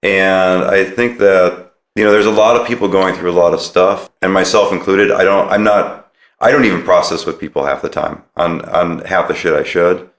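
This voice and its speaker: Deep-voiced man, roomy sound. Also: fairly even intonation, normal speed, fairly bright tone. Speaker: podcast interviewer. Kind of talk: casual conversational interview.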